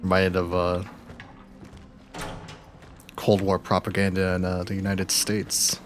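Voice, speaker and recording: A man's voice: sits low, takes it slowly, music in the background. Laid-back man streaming a game. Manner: relaxed, wry, muttering asides. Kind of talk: casual gameplay commentary.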